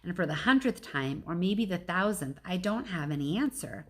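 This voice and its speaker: A woman's voice: on the low side, lively intonation, normal speed, fairly bright tone. A woman reading a children's storybook aloud like a teacher, with casual asides to her listeners.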